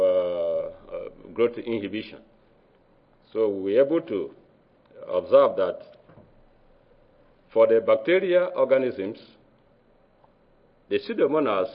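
A man from Nigeria with a Nigerian accent, giving an academic research presentation in a hesitant way, with many fillers and halting phrases. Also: fairly low pitch. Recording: dull lo-fi recording.

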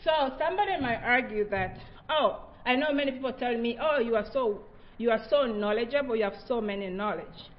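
A woman's voice: a little high, fairly quick, highs cut off. A middle-aged woman from Tanzania, whose first languages are Haya and Swahili, giving an earnest, reflective research talk with frequent restarts. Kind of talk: presentation talk.

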